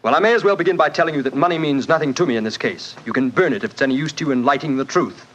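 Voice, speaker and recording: Fast, domineering rich tycoon, played by a man. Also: medium pitch, dull audio, lightly acted. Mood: blunt, demanding. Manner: forceful, blunt, threatening. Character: domineering, hot-tempered, arrogant male mining magnate. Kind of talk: 1940s radio drama acting. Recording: 1940s radio broadcast recording.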